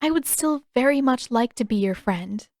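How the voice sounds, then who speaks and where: A woman voicing a gentle, regretful teenage princess in an audiobook, with soft, careful, polite phrasing. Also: on the high side, animated, moderate pace, no echo, clean studio sound, pitched above the performer's own voice. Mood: shy, gentle. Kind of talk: audiobook character dialogue.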